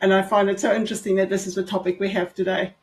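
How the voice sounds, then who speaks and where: A woman's voice: mid-range voice, level tone, racing, a little mellow. A woman guest on a talk show, explaining her thoughts in a casual, thoughtful way.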